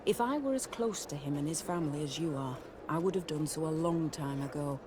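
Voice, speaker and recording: An actor playing a principled, grave military officer in a video game. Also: male voice, fairly high pitch, brisk, natural voice. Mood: stern, supportive. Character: loyal, principled Guard officer. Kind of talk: dramatic video game dialogue. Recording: clear outdoor ambient noise behind.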